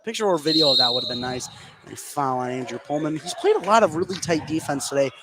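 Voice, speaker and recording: Male play-by-play commentator, slightly high voice, excitable on big plays, calling school basketball live over clear gym noise. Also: fast. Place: indoor school gym, courtside. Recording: noisy live gymnasium ambience.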